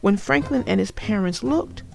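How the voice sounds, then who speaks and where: A woman narrating a children's storybook aloud with deliberate, expressive phrasing for young listeners. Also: mid-range voice, gravelly, soft background music, rough recording.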